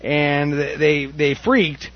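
A man's voice: on the high side, takes it slowly, highs cut off. A man in his thirties hosting a casual radio talk show, easygoing and hesitant with fillers. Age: 30s. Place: radio studio.

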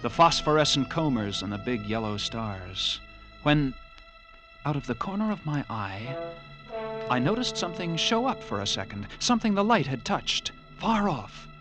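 An actor playing a lighthouse keeper narrating a sea tale in a measured, vivid, dramatic radio-drama style. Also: male voice, mid-range voice, sing-song, over music, lightly acted, poor audio. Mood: suspenseful. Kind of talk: classic radio drama first-person narration.

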